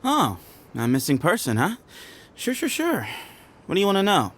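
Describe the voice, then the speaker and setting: A sly, teasing desert gang leader. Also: male voice, high voice, wildly swooping pitch, moderate pace, a little hiss or hum. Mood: breezy. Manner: playful, mocking, sing-song. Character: sly, teasing man who leads a hidden desert band. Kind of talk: video game cutscene voice acting.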